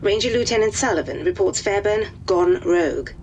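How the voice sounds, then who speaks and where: An actress playing a formal, matter-of-fact military intelligence officer reading a briefing in a video game. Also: female voice, mid-range voice, animated, moderate pace, darkish tone, a little hiss or hum, natural voice. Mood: official.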